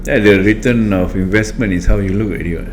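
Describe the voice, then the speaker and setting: A man's voice: on the low side, a little fast, music in the background. A man hosting a podcast as a fitness coach, agreeable and given to joking asides. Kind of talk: casual interview chat.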